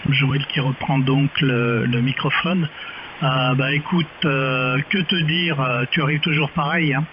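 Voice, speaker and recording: A man's voice: mid-range voice, steady tone, a little slow. A man chatting casually as an amateur radio operator over a two-way radio. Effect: two-way radio transmission. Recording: dull radio link with clear static.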